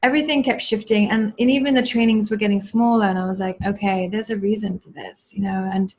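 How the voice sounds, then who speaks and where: A woman guest on a video call, telling a personal story in an earnest, reflective, spiritual way with many pauses and fillers. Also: medium pitch, steady tone, darkish tone. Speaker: guest, yoga teacher and school founder. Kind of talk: casual interview storytelling.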